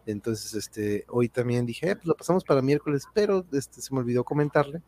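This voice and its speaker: A man's voice: mid-range voice, lively intonation, a little fast, darkish tone. A man with a northern Mexican accent hosting a casual livestream, chatting and dropping in English phrases. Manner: sprinkles English phrases into his talk. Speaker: host of an online livestream show. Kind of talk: casual livestream sign-off chat. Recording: clear background noise.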